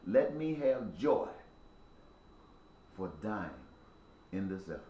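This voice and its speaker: A man's voice: lowish voice, expressive, slow pace, dark tone, highs cut off, a little hiss or hum. Preachy man in his sixties, echoey room. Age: 60s. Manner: preachy, repetitive, emphatic cadence. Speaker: speaker addressing viewers. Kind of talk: impassioned spoken monologue.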